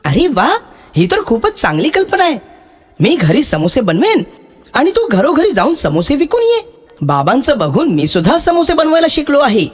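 A man's voice: very high-pitched, wildly swooping pitch, normal speed, voice pushed up, heavily acted. A man playing an earnest, hardworking young brother in a children's moral story, speaking emphatically. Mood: encouraging.

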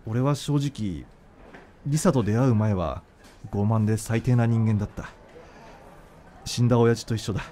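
A man's voice: fairly low pitch, normal speed, pauses often, dark tone, slight background noise, natural voice. A man acting in a radio drama as an earnest young doctor in love, speaking informally and from the heart. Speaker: voice actor.

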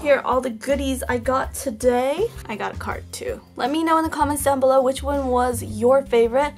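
A fairly high-voiced woman talks sweetly and a bit sleepily as she opens blind boxes at a table indoors. Her voice is slightly hoarse, and soft music plays faintly behind her. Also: normal speed. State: hoarse from just waking up. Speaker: toy unboxing channel host. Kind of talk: casual unboxing chat. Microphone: off-camera, hands-only tabletop shot.

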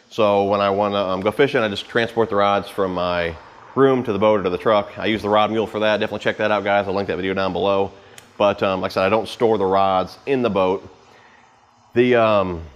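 A man in his thirties giving a casual, unscripted walkthrough to camera in an informal, rambling way, with pauses as he handles gear. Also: lowish voice. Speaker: vlogger giving a boat tour. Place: home garage with the door open.

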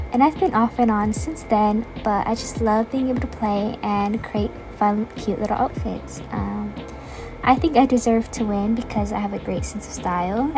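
A woman's voice: mid-range voice, rough recording. A seventeen-year-old girl from the United States giving a friendly self-introduction with frequent hesitant ums. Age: teens. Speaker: contestant.

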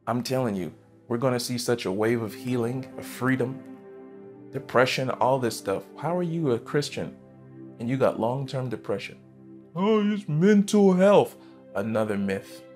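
A man in his thirties, a pastor preaching a sermon from a church stage, fervent and emphatic, jumping from loud bursts to quiet asides. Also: mid-range voice, lively intonation, moderate pace, faint music behind. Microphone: headset mic.

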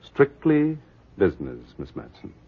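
Deep, husky, suave millionaire. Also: male voice, wildly swooping pitch, unhurried, full character voice. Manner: smooth-talking, formal, urbane. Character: suave, self-assured millionaire shipping magnate. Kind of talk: 1940s radio drama acting. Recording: muffled vintage 1940s radio recording.

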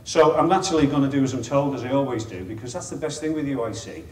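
A man's voice: medium pitch, quick pace, a little mellow, reverberant, distant mic. A middle-aged man with a Liverpool accent gives an informal conference talk as a chief executive, chatty and wry, with self-deprecating asides. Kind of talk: informal presentation to an audience. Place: meeting room with an audience. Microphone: lapel mic.

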